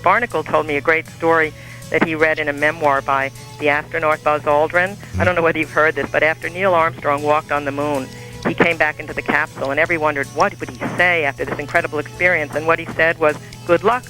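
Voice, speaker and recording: A man telling an anecdote on a radio show in a measured storytelling manner. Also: fairly high pitch, fairly even intonation, sharp tone, poor audio. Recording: archival radio broadcast with music bed.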